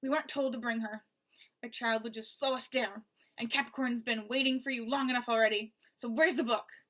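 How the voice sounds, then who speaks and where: Woman putting on a rasping, sneering henchman. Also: fairly high pitch, steady tone, fairly quick, fairly bright tone, low-quality recording. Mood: taunting. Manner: sneering, taunting. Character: rough male henchman, menacing, rasping voice.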